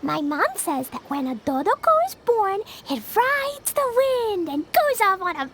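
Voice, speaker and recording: An actress voicing a sweet, innocent, excitable little girl in a video game, childlike and earnest. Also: extremely high pitch, unhurried, slight background noise, heavily acted. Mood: earnest, delighted. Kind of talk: English video game voice acting.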